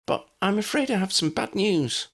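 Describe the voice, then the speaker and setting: A man's voice: sits high, big pitch swings, normal speed, voice pushed up, full character voice. A man voicing an anxious, faltering elderly neighbour woman in an audiobook, fretful and polite. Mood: worried. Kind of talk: audiobook character voice.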